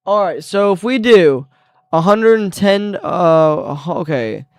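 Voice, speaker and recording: Young male streamer, easygoing chat, smooth clear voice a little high, dry close sound. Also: unhurried, no room sound. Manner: relaxed, easygoing, trails off mid-sentence. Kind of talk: casual chat.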